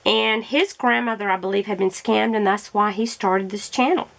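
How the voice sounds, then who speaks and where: Woman vlogger, fry-edged voice, chatty and meandering while crafting, throat roughened by allergies. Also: mid-range voice, steady tone, normal speed, a bit bright. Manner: rambling, chatty, self-correcting. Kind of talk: casual chat while crafting.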